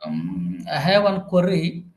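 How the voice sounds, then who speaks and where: An attendee with an Indian English accent puts short, halting questions to the presenter. The voice comes from away from the mic in a roomy, echoing space over an online call. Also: mid-range voice, huge pitch swings. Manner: polite, brief, halting. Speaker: participant asking questions. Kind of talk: polite questions in an online session. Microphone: online meeting audio. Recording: echoey distant online call audio.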